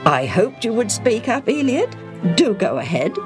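A woman's voice: on the high side, big pitch swings, normal speed, music in the background, voice pushed up. A woman voicing a kindly priest and teacher in a storybook, speaking in an encouraging manner.

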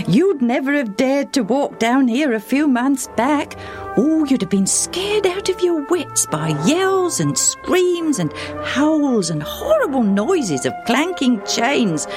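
A woman voicing an eager, polite young village boy who chatters brightly and keeps saying sir. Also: high voice, big pitch swings, slow pace, over music, pitched above the performer's own voice, exaggerated voice.

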